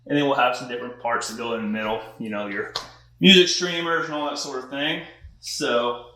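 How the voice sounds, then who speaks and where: A man's voice: mid-range voice, fairly quick, pushed and bright. A middle-aged man giving a casual, folksy walkthrough as an installer on a job site. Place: large unfinished house interior, hard floors. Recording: echoey empty rooms with long reverb.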